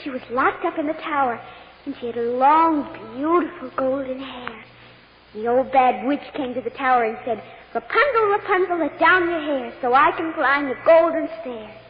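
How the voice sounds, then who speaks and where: A woman's voice: high-pitched, lively intonation, moderate pace. A woman playing an innocent, earnest little girl witness with an American accent in an old radio drama, speaking in an artless, hesitant way. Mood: eager, innocent. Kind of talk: 1940s-50s American radio drama acting. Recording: muffled, hissy old radio recording, reverberant.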